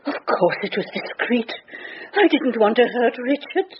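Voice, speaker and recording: A bitter, neglected British wife in a radio play. Her voice is fairly high and very animated, with faint noise on the recording. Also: unhurried, dull audio. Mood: bitter, defensive. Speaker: actress in a radio crime drama.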